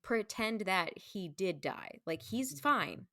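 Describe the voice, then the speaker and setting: A woman's voice: medium pitch, sing-song, slow pace, fairly bright tone, no echo, studio quality. A woman speaking as a podcast guest in a casual, informal conversational way, often saying "like". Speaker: podcast guest, stage performer. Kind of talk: casual chat.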